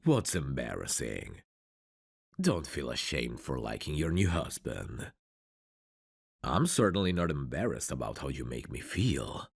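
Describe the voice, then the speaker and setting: Very deep, gravelly mafia bridegroom acted by a man. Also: big pitch swings, measured pace, no echo, clean studio sound, full character voice. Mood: seductive, teasing. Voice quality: husky, gravelly. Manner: soft-spoken, gentle, flirtatious. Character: courteous, tender mafia bridegroom in arranged marriage. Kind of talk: intimate ASMR romance roleplay acting.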